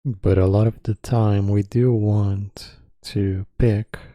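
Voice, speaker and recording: A man guides a meditation in a very soft, dark, relaxed voice. He speaks slowly and calmly, leaving long pauses between short phrases. The sound is dry and close. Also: fairly low pitch, no echo.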